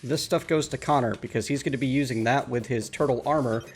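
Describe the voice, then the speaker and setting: A man's voice: mid-range voice, fast talker. A man hosting a casual multiplayer gaming chat, upbeat and explaining items to friends. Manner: upbeat, explains game items, laughs easily. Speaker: host.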